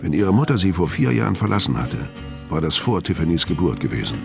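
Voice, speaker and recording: Very deep-voiced, world-weary hard-boiled criminal. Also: male voice, very animated, fast, vocal fry, over music, full character voice. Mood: brooding. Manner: terse, laconic. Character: gruff, world-weary hard-boiled male criminal narrating his story. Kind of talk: first-person crime radio drama narration and dialogue.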